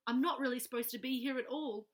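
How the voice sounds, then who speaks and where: A woman's voice: high voice, fast talker, natural voice. A woman voicing a small, polite, earnest bear from a children's storybook, speaking courteously and simply.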